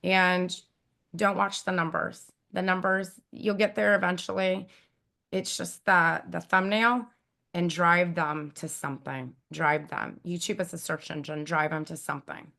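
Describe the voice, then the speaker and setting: A woman with a raspy, squeaky voice, chatting casually as an interview guest and giving advice with lots of fillers and emphatic repeats. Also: steady tone, measured pace, bright, forceful tone. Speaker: guest YouTube expert.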